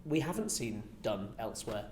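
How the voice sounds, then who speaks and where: A man's voice: sing-song, normal speed, darkish tone. A man giving reflective, earnest, conversational answers in an interview. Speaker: interviewee, pantomime writer and director. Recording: echoey room, faint rumbling noise.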